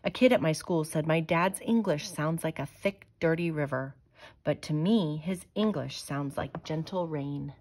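A woman reading a children's picture book aloud in a measured, expressive way. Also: low-pitched, a little hiss or hum. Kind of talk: storybook read-aloud.

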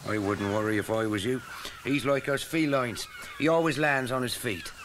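An actor playing a wry, cigar-loving cartoon cat in a radio play, with folksy slangy grammar. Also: male voice, mid-range voice, normal speed, background noise, close to an everyday voice. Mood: wry grumbling. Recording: music behind, 1980s radio play.

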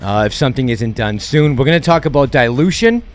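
A man's voice: mid-range voice, lively intonation, a little fast, soft background music. A man in his thirties hosting a stock-market commentary vlog, heated and sarcastic, speaking on a headset mic in a small home studio. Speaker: channel host. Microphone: headset with boom mic.

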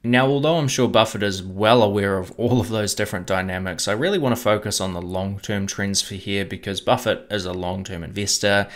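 Young man explaining stock moves. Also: on the low side. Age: young adult. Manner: measured, explanatory, steady delivery. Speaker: investing channel host. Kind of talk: scripted explainer to camera. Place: home room with bookshelf, indoors.

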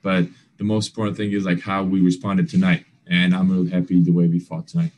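A young man answers reporters over a video call, his voice sitting fairly low. He talks earnestly but haltingly, stacking fillers and restarting phrases, and his grammar slips here and there. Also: little pitch movement, darkish tone. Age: young adult. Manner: earnest, non-standard grammar. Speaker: basketball player. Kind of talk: postgame press conference answers.

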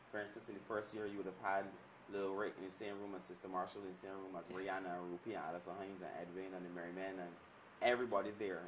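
A man in his thirties from Barbados, a music executive answering interview questions in a measured, reflective way. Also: low voice, far from the mic, background noise. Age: 30s. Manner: measured, reflective storytelling with restarts. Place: indoor studio set with glass table and armchairs.

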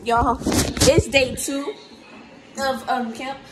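A young woman vlogging from a dorm room on a handheld phone, chatty and rambling, her voice hoarse and worn out. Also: sits high, fairly even intonation, extremely slow. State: very tired. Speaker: vlogger at cheer camp. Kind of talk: casual selfie vlog chat. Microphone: phone held close at arm's length. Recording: noisy phone recording.

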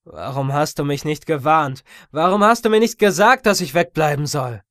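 A man's voice: fairly high pitch, expressive, normal speed, fairly bright tone, no echo, studio quality, pitched above the performer's own voice. A man voicing an alarmed, angry, cursing man trapped in a horror audiobook. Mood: accusing. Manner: agitated, cursing.

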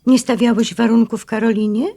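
A woman's voice: a little high, steady tone, brisk, close to an everyday voice. An actress playing a direct, questioning woman among friends in a naturalistic audio drama. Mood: challenging, reproachful. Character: forthright woman friend who challenges men with pointed questions. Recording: faint noise with tableware clinks.

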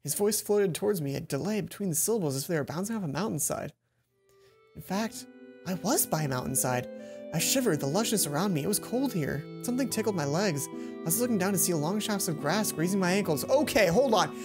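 Expressive young man narrating over game music. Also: high voice, brisk. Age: young adult. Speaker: let's play host. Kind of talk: reading visual novel aloud with commentary.

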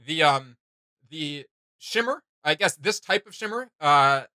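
A man's voice: on the high side, lively intonation, a little slow, bright, forceful tone, no room sound. A man in his thirties chatting casually and emphatically as an enthusiastic host of reaction videos. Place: small home room with computer desks, indoors.

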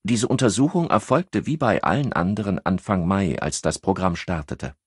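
A man's voice: sits low, expressive, normal speed, slightly rough, no room sound, clean studio sound, voice pushed up. A man voicing a composed, educated countess who explains things precisely, like a medical expert, in an audiobook. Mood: matter-of-fact.

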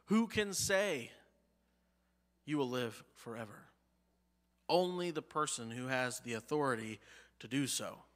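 A man in his thirties with an American accent, preaching a sermon from a church pulpit. He lifts his voice on key phrases and lets line endings fall low and soft. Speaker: pastor. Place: church sanctuary, behind a pulpit. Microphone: pulpit mic, medium-wide camera.

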